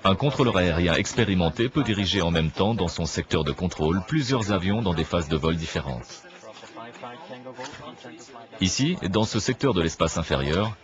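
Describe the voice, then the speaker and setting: A man reading a scripted documentary narration in a measured, didactic manner. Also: sits low, muffled recording. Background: clear background music.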